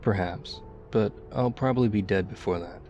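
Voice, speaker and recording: A low, mellow-voiced survivor of the zombie apocalypse, acted by a man, speaks hushed and measured over faint music. He is battle-hardened and weary, guarded yet quietly caring, in an intimate ASMR audio drama. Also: muffled recording, lightly acted. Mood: weary, confiding. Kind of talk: ASMR audio roleplay, intimate one-sided dialogue.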